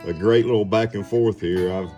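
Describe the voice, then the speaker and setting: Male radio hobbyist, very deep and mellow, easygoing folksy conversational delivery. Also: fairly even intonation, normal speed, soft background music. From southeast Georgia, United States. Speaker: amateur radio operator and TV survival personality. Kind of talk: casual radio chat.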